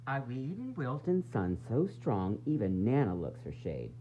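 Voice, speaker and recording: A man reading a children's picture book aloud in an expressive, dramatic, sing-song way, with varied emphasis. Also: medium pitch, measured pace. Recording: dull lo-fi sound, faint noise and hum.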